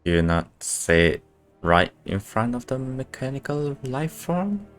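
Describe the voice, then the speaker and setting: A man's voice: medium pitch, very animated, a little slow, fairly bright tone, faint music behind. A man streaming a game, giving casual, sardonic and sweary commentary.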